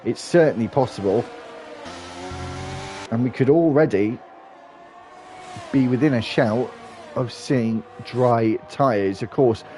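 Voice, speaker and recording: Guy doing live sim race commentary, bit bunged up from hay fever, keeps restarting phrases, faint hiss behind. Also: fairly low pitch. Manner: steady running commentary with small restarts.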